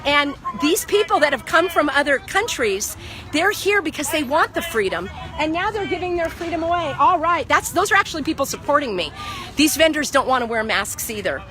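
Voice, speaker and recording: A woman with a high, bright voice talks with fervor into a phone held in her own hand. She lectures and repeats her points over and over. She is outdoors in a parking lot. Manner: impassioned, lecturing, repeats herself. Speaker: vlogger. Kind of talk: outdoor phone vlog, heated argument. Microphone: phone held by the speaker.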